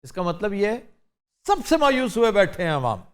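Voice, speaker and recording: Brief: teasing man, anchor role, high voice, lively and expressive. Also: moderate pace, smooth. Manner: teasing, talks politics with mock seriousness. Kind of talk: casual comedic banter.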